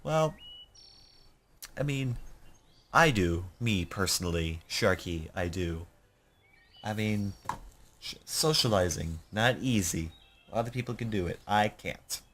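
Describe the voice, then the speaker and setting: A young man with a deep, low-sitting voice hosts a casual let's play of a visual novel. He reads the game's text aloud and keeps breaking off into rambling, self-deprecating asides, with some swearing. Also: animated, slow pace, pauses often, a bit bright, slight background noise.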